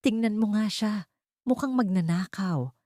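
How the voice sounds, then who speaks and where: A woman's voice: medium pitch, measured pace, dark tone, dry recording, studio quality, pitched above the performer's own voice, lightly acted. A woman voicing a haughty, scornful rich grandmother in an audio story, snapping and sneering.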